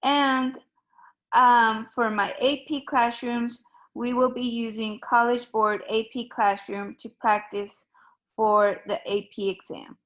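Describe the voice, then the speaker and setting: Spanish teacher lady from ecuador, slow and careful, kinda high voice, lots of ums. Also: fairly even intonation, fairly bright tone. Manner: slow, measured and formal, with frequent ums. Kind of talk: recorded open house presentation.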